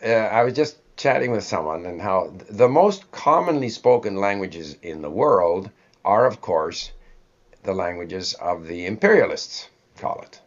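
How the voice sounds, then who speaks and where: A man's voice: sits low, expressive, unhurried. A man with a Canadian accent hosting a conversational interview, thinking aloud in a discursive way. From born in Sweden, raised in Canada. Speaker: host interviewing a guest. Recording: dull, lo-fi audio.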